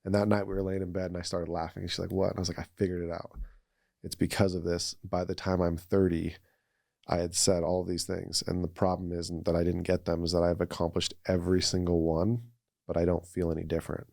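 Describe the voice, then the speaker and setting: A man's voice: low-pitched, level tone, a little fast, vocal fry, darkish tone, dry recording, clean studio sound. A man, thirty or older, talking casually and reflectively as a podcast guest, with an earnest, conversational way of telling personal stories. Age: 30s or older.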